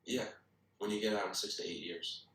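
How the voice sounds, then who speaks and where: A man's voice: on the low side, steady tone, brisk, fairly bright tone, natural voice. A man playing a serious, wry young policeman at a drama table read. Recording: very echoey, distant call audio.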